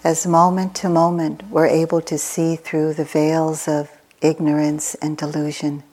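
Woman meditation teacher, really slow and flat, soothing measured phrases w long pauses. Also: deep voice, poor audio.

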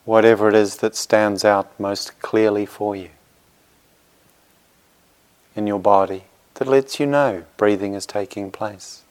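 A man with a fairly low, very smooth voice leads a guided meditation. He speaks gently, in short phrases with plenty of space between them. His manner is reassuring, as a teacher settling students into practice. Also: fairly even intonation, measured pace, long pauses.

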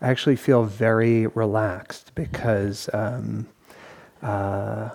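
Man meditation teacher, lowish voice, very slow, halting with pauses and fillers, self-deprecating. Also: fairly even intonation, creaky, darkish tone. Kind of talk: welcoming talk at a retreat. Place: meditation hall.

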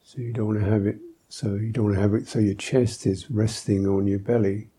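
Male meditation teacher: very mellow, husky, unhurried and gentle, leaving long pauses. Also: lowish voice, level tone. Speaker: Buddhist monk, meditation teacher. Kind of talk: guided meditation instruction.

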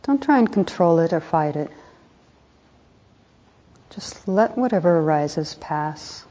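A woman with a low, mellow voice guides a meditation. She speaks softly and calmly in short instructive phrases with room between them, and the recording is slightly dull and muffled. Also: takes it slowly. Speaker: meditation teacher.

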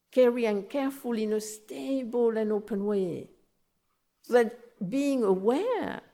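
A woman with a French accent gives a meditation talk in a soft, warm voice. She explains patiently, repeating key phrases and slowing down on the points she stresses. Her pitch sits in a middle range. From France. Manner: gentle, explanatory, repeats phrases, slow in places. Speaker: meditation teacher. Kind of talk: dharma talk. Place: meditation center.

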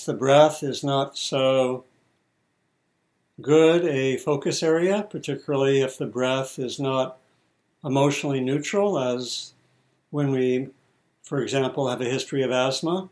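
A man teaching meditation, giving guided instructions in short, measured phrases with pauses between them. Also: mid-range voice, a little mellow.

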